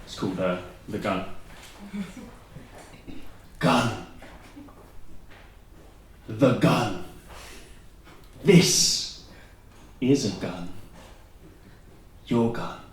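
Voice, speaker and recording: A Welsh man in his twenties reads his poems aloud at a slam, his voice lowish and heard from well back in a very echoey room. He slips in dry, self-deprecating jokes between pieces. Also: a little hiss or hum. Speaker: spoken word poet. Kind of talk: poetry slam performance. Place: live performance venue. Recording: very echoey room recorded from a distance.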